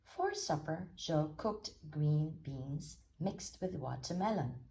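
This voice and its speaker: Low-pitched woman reading a storybook, lively. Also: slow, gravelly, slight background noise. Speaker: storybook reader. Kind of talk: expressive read-aloud narration of a children's story.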